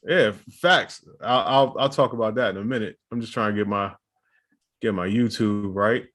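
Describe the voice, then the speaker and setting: Laid-back man with a low voice. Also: normal speed, fairly bright tone. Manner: laid-back slangy banter. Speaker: podcast co-host. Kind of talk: casual chat.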